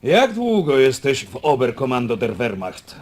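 An actor playing an outspoken, talkative German officer in a Polish radio drama, with a declamatory yet conversational delivery. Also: male voice, medium pitch, wildly swooping pitch, moderate pace. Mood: friendly teasing.

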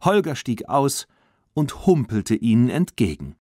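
A man narrating a children's story as a scripted audiobook, in an expressive storytelling manner. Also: medium pitch, a little slow, no room sound, studio quality.

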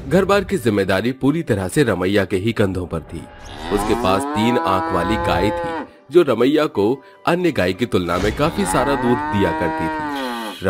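Lively-pitched man narrating a cartoon story.

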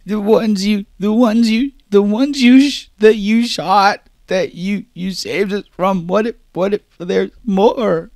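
A man's voice: sits high, fairly even intonation, slow pace, hardly any pauses, a little mellow, no room sound, clean studio sound, pitched above the performer's own voice. A man voicing a frightened six-year-old girl who panics and cries. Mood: panicked.

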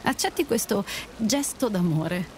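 A woman's voice: mid-range voice, expressive, unhurried, a little mellow, a little hiss or hum, close to an everyday voice, rough recording. A woman acting a resolute, generous, blunt young lady passenger in an audio drama. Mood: resolute, gentle.

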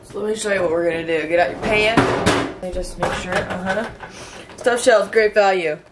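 A woman's voice: medium pitch, normal speed, heavy background noise. A young woman vlogging in her home kitchen, groggy from a nap, chatting and giggling as she rambles. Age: young adult. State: groggy after a nap, voice deeper. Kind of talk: casual chat. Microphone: camera on a counter.

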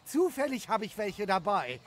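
A man voicing a goofy, simple-minded fish seller in a story, naive, eager and halting. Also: sits high, big pitch swings, a bit bright, pitched above the performer's own voice, exaggerated voice.

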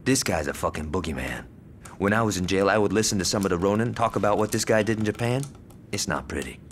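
Swaggering deep-voiced gang lieutenant. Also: male voice, expressive, fast, noisy background. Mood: confiding. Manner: cocky, profane, slangy street talk. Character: swaggering, trigger-happy male gang lieutenant. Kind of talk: video game cutscene acting.

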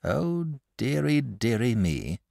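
A man voicing a lazy, gentle, cultured male dragon in a storybook reading, languid and unhurried. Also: a little high, wildly swooping pitch, no echo, clean studio sound, voice pushed up, heavily acted. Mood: plaintive. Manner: languid, unhurried, mildly plaintive.

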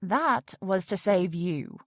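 A woman's voice: mid-range voice, animated, slow pace, no echo, clean studio sound. An actress in an audio drama playing an indignant, strong-willed woman who works at a research facility.

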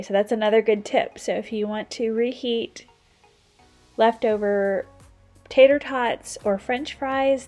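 A woman's voice: medium pitch, a little mellow. A woman in her thirties, a stay-at-home mom, giving a casual, practical voice-over as she cooks and shares kitchen tips. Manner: chatty, practical, explains tips as she goes.

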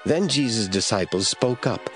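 A man narrating scripture from a script in a measured, formal storytelling manner. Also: fairly low pitch, animated, faint music behind.

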